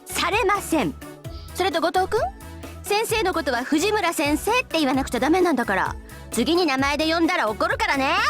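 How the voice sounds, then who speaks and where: A woman's voice: extremely high pitch, lively intonation, rapid-fire, fairly bright tone, soft background music. A woman voicing a bubbly, scatterbrained, bossy young teacher in a visual novel, with cutesy scolding. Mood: stern. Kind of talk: Japanese visual novel voice acting.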